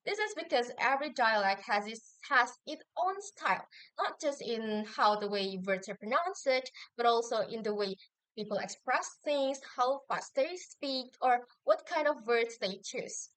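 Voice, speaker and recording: A young woman, a university student explaining answers in a measured way with occasional hesitant restarts. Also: fairly high pitch, expressive, a bit bright, no room sound, low-quality recording. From Indonesia. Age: young adult. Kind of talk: answering assignment questions on video.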